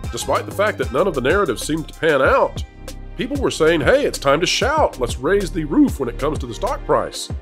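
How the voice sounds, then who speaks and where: A man's voice: on the high side, sing-song, moderate pace, fairly bright tone, faint music behind. A man hosting commentary and panel talk, with an emphatic, wordy presenter's delivery. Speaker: host of an entertainment business news channel.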